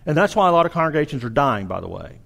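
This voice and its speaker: High-voiced Southern preacher, man. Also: big pitch swings, quick pace, vocal fry, a bit bright. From Arkansas. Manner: earnest, exhorting, with frequent restarts. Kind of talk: sermon.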